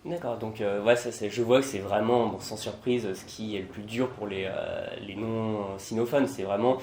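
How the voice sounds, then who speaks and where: A man's voice: on the low side, steady tone, normal speed, a little mellow, reverberant, a little hiss or hum. A man hosting an interview, asking questions with many fillers and restarts. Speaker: host, interviewer.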